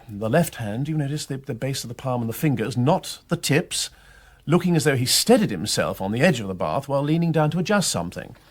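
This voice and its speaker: Urbane English gentleman detective, radio drama: lively swooping delivery, slightly rough tone, courteous and musing. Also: mid-range voice. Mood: musing, keen. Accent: upper-class English. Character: eager aristocratic gentleman detective, courteous and inquisitive. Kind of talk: British radio drama acting.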